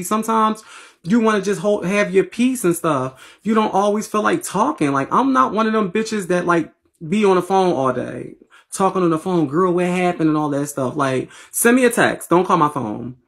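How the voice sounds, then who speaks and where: Woman, Philadelphia accent, raspy, sassy, profane, phone livestream, home bedroom. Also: lowish voice. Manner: blunt, profane, sassy talk to viewers. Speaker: livestream host. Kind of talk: casual livestream chat. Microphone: phone at arm's length.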